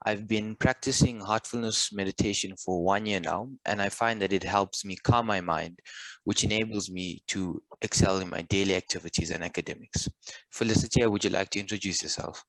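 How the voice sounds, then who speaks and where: A sixteen-year-old boy from South Africa introduces himself politely in a low voice. The recording is dry and close. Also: moderate pace, fairly bright tone, no room sound, poor audio.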